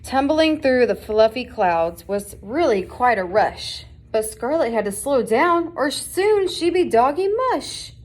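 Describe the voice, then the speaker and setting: A woman's voice: a little high, expressive, a little slow, few pauses, darkish tone. A woman reading a children's picture book aloud as scripted narration. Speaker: storybook reader.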